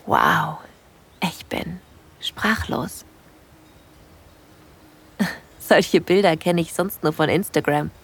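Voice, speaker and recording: A smitten woman hiker in an intimate audio drama. Her voice sits lowish with a marked creak, breathy and playful as she tells of her lover. Faint noise and ambience lie behind her. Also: expressive, very slow, pauses often, heavily acted. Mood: awed. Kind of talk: intimate first-person erotic audio drama.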